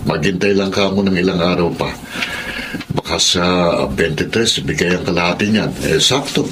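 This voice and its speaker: A man playing a gentle, deep-voiced father. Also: moderate pace, background noise, natural voice. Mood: reassuring. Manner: calm, reassuring. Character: gentle working-class father, low-paid office worker. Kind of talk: radio drama acting.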